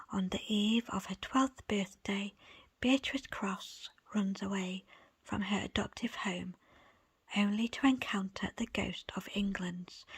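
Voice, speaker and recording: Slow whispering woman, ASMR reading. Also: mid-range voice, dry recording. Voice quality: breathy, unvoiced whisper. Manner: soft, unhurried, deliberate phrasing. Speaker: book presenter and reader.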